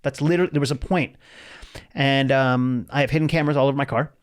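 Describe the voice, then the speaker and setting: A man in his forties, a comedian guest telling rambling, animated stories in a casual podcast chat. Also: mid-range voice, quick pace, clean tone. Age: 40s.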